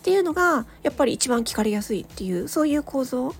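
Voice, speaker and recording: A woman hosting a solo audio show, chatting casually and reflectively to listeners, thinking aloud with hesitant pauses. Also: high voice, lively intonation, husky. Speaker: solo audio channel host, amateur voice actor.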